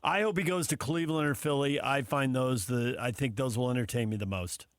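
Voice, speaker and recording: A man's voice: medium pitch, a little fast, clear, resonant tone, no echo. A man hosting sports radio with casual banter, on a studio microphone.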